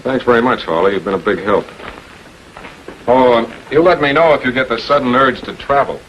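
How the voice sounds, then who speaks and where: An actor playing a cool, authoritative public prosecutor in a 1940s American TV crime drama, measured, probing and businesslike. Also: male voice, mid-range voice, a bit bright, lightly acted. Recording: dull, noisy 1940s film soundtrack.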